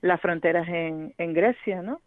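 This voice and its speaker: A woman's voice: on the low side, measured pace, no room sound. Woman on a tinny phone line. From Gran Canaria, Spain. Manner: thoughtful, hesitant with many fillers and restarts. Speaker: novelist, interview guest. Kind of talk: radio interview.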